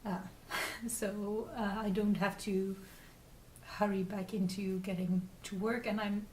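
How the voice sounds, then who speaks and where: A woman from the Netherlands with a Dutch accent, hosting a casual craft vlog in a halting, rambling way while getting over a cold. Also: medium pitch, level tone. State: recovering from a cold. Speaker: podcast host. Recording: distant mic with faint noise.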